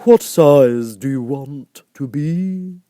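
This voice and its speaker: A man voicing a stern, sleepy storybook caterpillar who speaks very slowly and curtly, drawing out the words with disdain. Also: on the high side, mellow, no echo, studio quality, voice pushed up. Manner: very slow, drawn-out, curt delivery. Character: languid, sleepy, stern, contemptuous hookah-smoking caterpillar.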